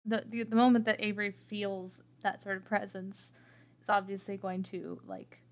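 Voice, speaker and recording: A woman playing a tabletop game, improvising her character's actions as she thinks aloud in a halting way. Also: medium pitch, little pitch movement.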